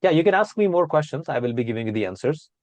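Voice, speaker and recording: A man with an Indian accent teaching English, talking quickly and flowing on with few pauses. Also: fairly high pitch, expressive, darkish tone, no room sound. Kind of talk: conversational accent lesson.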